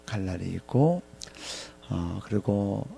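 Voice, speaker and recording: A man in his fifties preaching a reflective sermon to ministry staff in a halting way, pausing often and repeating phrases. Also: fairly low pitch, animated, gravelly, dark tone, slight background noise. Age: 50s. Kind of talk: reflective sermon with scripture readings.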